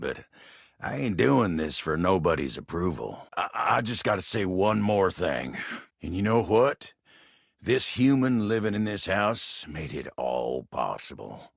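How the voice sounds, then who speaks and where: Gravelly, drawling folksy Southern cowboy sweetheart. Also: male voice, low-pitched, a bit bright, dry recording, clean studio sound, heavily acted. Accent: American Southern. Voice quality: gravelly, husky. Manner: slow, folksy drawl, bashful and earnest. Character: gentle, lovestruck living table with folksy cowboy charm. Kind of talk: video game character acting.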